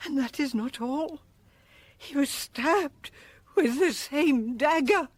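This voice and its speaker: An actress playing a superstitious, agitated housemaid in a radio drama, indignant and melodramatic. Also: female voice, sits high, slow, a little hiss or hum. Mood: alarmed.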